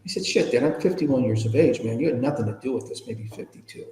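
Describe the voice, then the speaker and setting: A man in his fifties or older telling personal stories conversationally as an interview guest, informal and animated, often asking rhetorical questions. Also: mid-range voice, fast, a little hiss or hum. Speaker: interview guest, public speaker. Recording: echoey room with long reverb.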